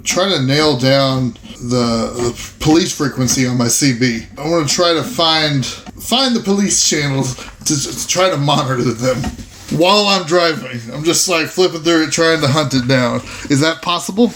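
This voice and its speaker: A man playing a tabletop roleplaying game, chatting casually and thinking aloud in halting, repeated phrases. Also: a little high, fairly bright tone, a little hiss or hum. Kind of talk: casual game-table chat, improvising in character.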